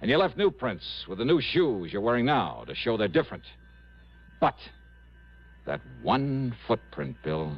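A man's voice: mid-range voice, big pitch swings. An actor playing a shrewd, confident male insurance investigator in a vintage radio drama, speaking in a pointed, dramatic manner. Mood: accusing, pressing. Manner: pointed, deliberate, dramatic delivery. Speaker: star of a detective radio drama. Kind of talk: 1950s-60s radio drama acting with first-person narration. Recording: muffled vintage radio broadcast, faint music.

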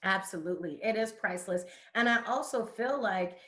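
A woman's voice: medium pitch, normal speed, fairly bright tone. A woman from Connecticut, a youth educator, answering interview questions in fluent, conversational storytelling.

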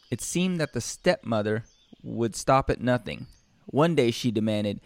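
A man reading a storybook and breaking off into casual, rambling, deadpan joking asides. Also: fairly low pitch, smooth, no echo, clean studio sound. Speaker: storybook reader and comedian.

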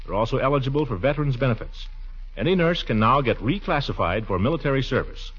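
A man's voice: medium pitch, lively intonation, fast talker, a bit bright, slightly rough. A man making an earnest, direct public appeal in 1940s radio style. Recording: dull vintage 1940s radio recording.

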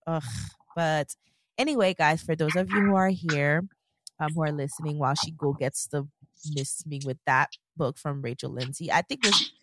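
A woman's voice: low voice, moderate pace, noisy background. A woman co-hosting a casual podcast, chatty and rambling, restarting her sentences often. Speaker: podcast co-host. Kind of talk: casual chat.

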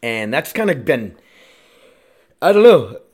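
A man's voice: medium pitch, big pitch swings, takes it slowly, darkish tone, husky. A man in his late thirties hosting a casual solo podcast, rambling and restarting his sentences, swearing freely. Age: 30s. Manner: rambling, profane, self-interrupting. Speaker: podcast host.

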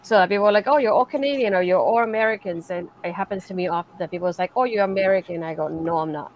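Woman podcaster from Puerto Rico, quick delivery, mid-range pitch, emphatic conversational style with faint background noise. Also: highs cut off. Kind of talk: casual panel chat.

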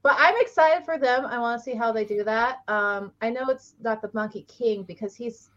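A woman's voice: fairly high pitch, normal speed, a bit bright, highs cut off. A woman co-hosting a casual book club chat, rambling through thoughts with many fillers and frequent laughter.

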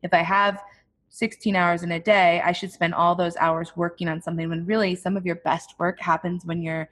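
A woman with a somewhat low voice talks about building her business in a relaxed, reflective way. Her speech is chatty and loose, with plenty of "like" fillers. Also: normal speed, a little hiss or hum. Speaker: entrepreneur guest. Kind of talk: casual interview talk.

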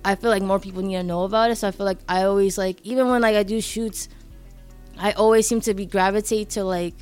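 A young woman from New York, a photographer, talking casually in an interview, quick and full of "like" fillers. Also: mid-range voice, fairly even intonation, a little mellow, clean tone, faint music behind. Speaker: photographer guest.

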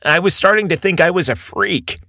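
A man playing a shy, soft-spoken young man. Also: sits high, lively intonation, brisk, no echo, studio quality, pitched above the performer's own voice, exaggerated voice. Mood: sheepish, confiding. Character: shy, kind young man, nervous and smitten.

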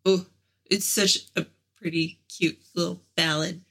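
A woman's voice: lowish voice, fairly even intonation, a little slow, vocal fry, a bit bright, husky. A woman co-hosting a podcast in casual chat, gushing with enthusiasm about the music. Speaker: podcast co-host.

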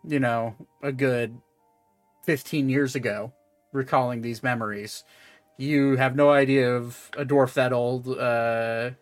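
A man's voice: medium pitch, no echo. Man narrating a tabletop game, dry close sound. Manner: halting, conversational, self-correcting. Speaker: game master.

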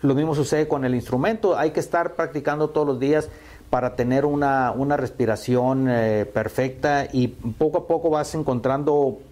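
A man's voice: mid-range voice, moderate pace, a little mellow. A Mexican man in his fifties, a musician answering interview questions in a hesitant way, full of fillers and repeated words. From Sonora, Mexico. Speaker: musician guest.

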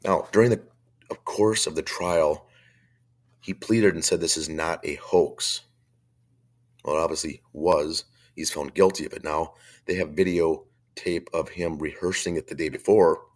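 Hoarse low-voiced male radio host. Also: measured pace. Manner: blunt, opinionated, conversational. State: hoarse from a chest cold. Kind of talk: faith-based talk radio commentary.

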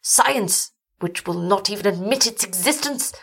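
An older gentleman acted by a woman reader speaks in formal, melodramatic phrases, weary and full of despair. The voice is somewhat husky, in the manner of a gothic storybook. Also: high voice, lively intonation, unhurried, full character voice. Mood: bitter. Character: anguished, despairing older gentleman, village husband haunted by horror.

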